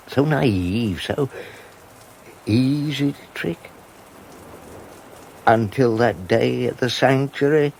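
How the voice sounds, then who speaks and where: An actor playing a quiet, vengeful middle-aged murderer who speaks to his dead friend in a soft, bitter, gloating way. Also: mid-range voice, gravelly, a little hiss or hum, exaggerated voice, low-quality recording. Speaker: radio drama actor. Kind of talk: 1980s radio drama acting.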